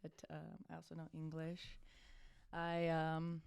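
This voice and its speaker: A woman's voice: low voice, completely flat delivery, measured pace, gravelly, darkish tone, clear, resonant tone, dry recording, clean studio sound. A young adult woman with an American accent chatting casually as a podcast guest, informal and self-deprecating. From California, United States. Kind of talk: casual interview chat.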